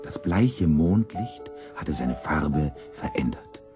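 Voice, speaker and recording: A man narrating a scripted children's story as an expressive storyteller. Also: extremely low pitch, takes it slowly, music in the background.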